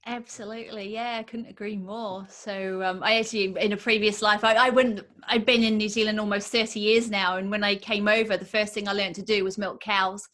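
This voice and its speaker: A woman's voice: mid-range voice, level tone, a little fast, few pauses. A woman co-hosting an interview, who agrees with the guest, shares her own experience and leads into questions with plenty of fillers. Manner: conversational, warmly agreeing, with fillers. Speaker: podcast co-host. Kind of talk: casual interview chat.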